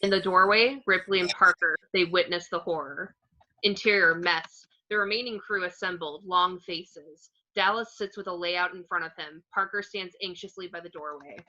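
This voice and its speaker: A woman's voice: fairly low pitch, steady tone, moderate pace, bright, forceful tone. A woman narrator reading out screenplay action descriptions on a dull, lo-fi home recording.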